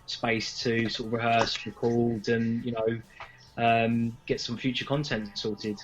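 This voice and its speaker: A man's voice: mid-range voice, flat delivery, measured pace, noisy background. A British man, a band member, chatting casually as an interviewee on a remote call. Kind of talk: casual chat. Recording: slightly dull remote call audio.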